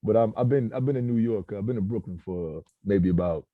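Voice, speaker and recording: A voice actor from Southern Maryland, chatting loosely on a video call and laughing, with lots of fillers. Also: male voice, fairly low pitch, quick pace, no echo, narrow, tinny audio. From Southern Maryland, USA. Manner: laid-back, laughing, many fillers. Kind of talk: casual video call chat.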